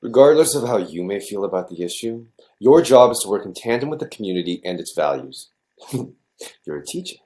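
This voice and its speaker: Deep, swooping, stern lecturing man played by a man. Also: sing-song, brisk. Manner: forceful, lecturing. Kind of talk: scripted podcast dramatization.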